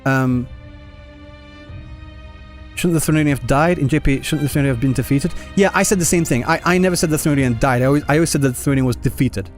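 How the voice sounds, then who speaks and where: A man's voice: medium pitch, quick pace. A man livestreaming a game, talking casually to viewers in a rambling, stop-start way, on a close mic. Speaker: game streamer. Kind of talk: casual livestream chat answering viewer comments. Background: game music.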